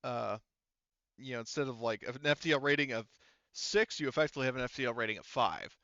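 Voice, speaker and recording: A man narrating a tabletop game casually as game master, halting often as he thinks aloud. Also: medium pitch, lively intonation, pushed and bright, no room sound, muffled recording.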